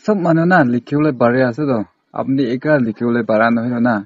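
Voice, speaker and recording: A man's voice: medium pitch, a little fast, a little mellow, no echo. A man on a casual, confrontational phone call, scolding and accusing insistently. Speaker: prank caller.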